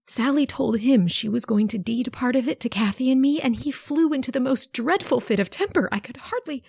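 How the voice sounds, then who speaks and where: Creaky-voiced scheming genteel sister played by an actress. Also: female voice, high-pitched, lively intonation, fairly quick, a little mellow, dry recording, clean studio sound, heavily acted. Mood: feigned distress. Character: sly, scheming sister feigning frail worry. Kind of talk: old-time radio drama acting.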